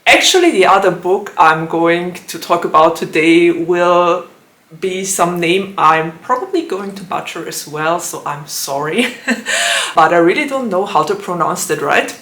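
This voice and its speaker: A slightly raspy, hoarse woman chats about her reading plans at an unhurried pace, giggling between phrases. Her voice sits bright and forward, and the room around her sounds echoey. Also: fairly low pitch. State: hoarse from allergies. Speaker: book vlogger. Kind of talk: casual book vlog chat.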